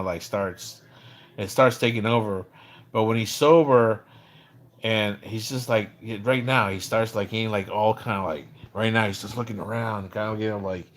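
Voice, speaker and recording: A man talks in a loose, conversational livestream style at a middling pitch. He rambles through his stories in slangy, informal language, like someone chatting with his viewers. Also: a bit bright. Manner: acts out quoted dialogue when recounting. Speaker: live streamer. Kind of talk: casual livestream chat about another streamer.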